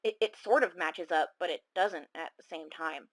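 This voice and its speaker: A woman's voice: lowish voice, moderate pace. A woman playing a shaken caller who recounts a monster encounter in a dramatic, confiding first-person radio horror story. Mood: haunted. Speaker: caller telling her story.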